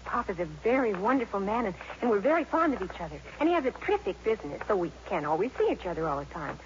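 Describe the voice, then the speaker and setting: A woman's voice: medium pitch, big pitch swings, quick pace, lightly acted. An actress playing an earnest, easily flustered teenage schoolgirl with a stammering, breathless delivery in a 1950s radio drama. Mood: earnest, defensive. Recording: muffled, noisy 1950s radio recording.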